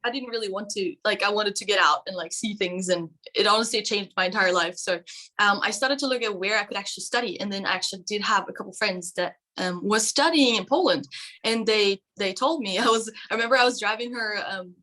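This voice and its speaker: A woman's voice: medium pitch, fairly quick, pushed and bright. A woman from Norway in her twenties, a vet student and guest, chatting casually and laughing often. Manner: laughs often, chatty with many likes and you-knows. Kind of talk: casual chat.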